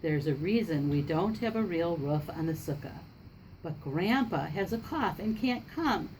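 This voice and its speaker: A woman's voice: fairly low pitch, lively intonation, a little slow, darkish tone, rough recording. A patient storybook papa as a woman reads him aloud. He is firm and explanatory with his child, stressing his points. Some faint noise sits behind the reading.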